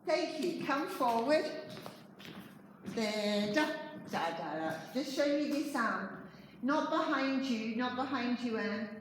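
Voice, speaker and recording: A woman's voice: on the high side, animated, slow pace, slight background noise. Woman dance teacher in an echoey studio. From Australia. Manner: counting steps aloud, calling out corrections to pupils. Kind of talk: dance class instruction.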